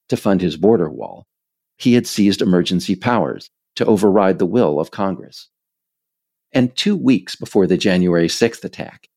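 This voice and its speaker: Low, creaky American male narrator, lively delivery. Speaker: author and narrator reading own book. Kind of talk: scripted political narration.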